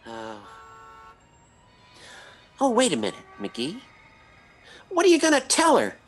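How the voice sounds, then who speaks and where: Bumbling American radio husband played by a man: self-mocking, flustered, unhurried stammer, hugely varied intonation. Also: extremely high pitch, exaggerated voice. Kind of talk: old-time radio comedy acting.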